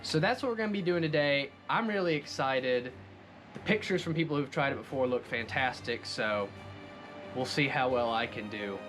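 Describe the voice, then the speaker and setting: A man with a mid-pitched voice hosts a do-it-yourself craft tutorial. He explains the work step by step in casual narration. Clear music plays behind his voice. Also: moderate pace. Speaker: host of a craft tutorial channel.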